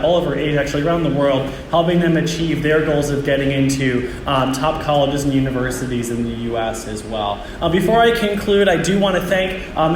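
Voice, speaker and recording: A man's voice: on the high side, a little hiss or hum. A man giving a casual address at an event, using many fillers. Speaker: sponsor representative. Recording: echoey hall, faint crowd.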